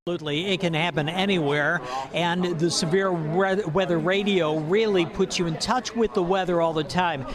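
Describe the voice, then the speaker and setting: High-pitched male weather reporter over music. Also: moderate pace. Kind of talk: live on-air report.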